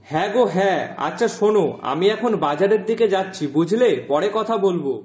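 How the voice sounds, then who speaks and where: A man playing a gentle, fatherly middle-aged village fisherman in a cartoon moral story, talking in a soft, reassuring way. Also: high-pitched, brisk, lightly acted. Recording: roomy echo, music underneath.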